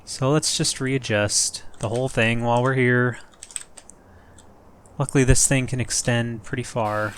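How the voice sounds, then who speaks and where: Young male let's-play host, mid-pitched, slightly hoarse and rough, rambling self-mocking chat over faint game noise. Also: fairly even intonation, measured pace, fairly bright tone. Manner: rambling, self-joking, thinks aloud. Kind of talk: casual gameplay commentary.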